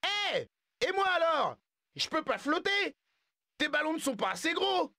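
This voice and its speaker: Cheeky cartoon zebra, young male, high bright voice. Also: sing-song, unhurried, dry recording, studio quality, full character voice. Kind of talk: video game dubbing.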